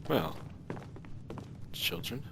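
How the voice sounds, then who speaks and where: A man's voice: extremely slow, fairly bright tone, slight background noise. A man doing a casual let's play, reading dialogue options and adding dry, sarcastic asides. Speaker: let's player.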